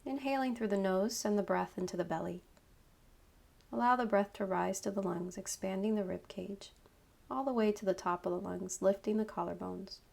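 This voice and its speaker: A woman leading a guided meditation as a yoga nidra teacher, giving calm, unhurried instructions in short phrases with pauses. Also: medium pitch, gravelly.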